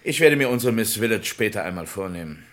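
A calm, paternal gentleman in a classic radio drama. His voice is deep and unhurried, soothing with a dismissive edge. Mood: reassuring, dismissive. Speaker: actor in crime radio play. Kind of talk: classic German crime radio drama acting.

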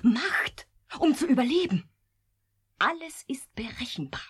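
Very breathy, solemn artificial young woman. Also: high-pitched, sing-song, a little slow, heavily acted. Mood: coldly logical. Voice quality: breathy, often near whisper. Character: young artificial woman, coldly logical yet anguished. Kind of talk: German radio drama acting.

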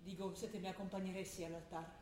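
An actress voicing a determined young daughter with a Trentino accent who speaks quickly and firmly. She is heard from a distance on a very echoey stage, with faint noise and a low rumble behind her. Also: level tone, close to an everyday voice. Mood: quiet, firm. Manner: quick, firm and quietly defiant. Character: young woman, a determined daughter just graduated. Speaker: stage actor. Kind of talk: theatre drama broadcast on radio.

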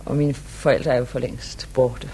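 An actress playing a gracious, excitable touring singer in a 1950s radio drama, theatrical and a little hesitant. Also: female voice, slightly rough, heavily acted. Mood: reflective. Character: gracious, excitable touring female singing star. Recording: old radio recording with rumbling noise.

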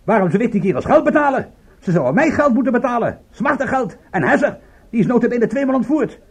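An actor playing a sardonic, indignant private detective in a Dutch radio drama, with a theatrical delivery. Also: male voice, very high voice, big pitch swings, quick pace, slightly rough, full character voice. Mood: indignant, mocking. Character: sardonic, indignant male private detective, proud of his work.

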